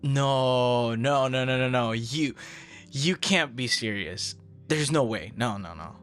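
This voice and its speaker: A man performing an ASMR roleplay as an earnest, easily flustered young stranger, talking conversationally with hesitant repeats and hushed asides. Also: medium pitch, faint music behind. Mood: surprised, curious. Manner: hesitant, halting, soft-spoken asides, repeats words.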